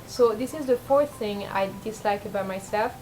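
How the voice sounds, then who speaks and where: A young woman with a low speaking voice, rambling and self-deprecating in a casual confessional vlog, full of fillers and restarts. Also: moderate pace, a little mellow, faint music behind, low-quality recording. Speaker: vlogger.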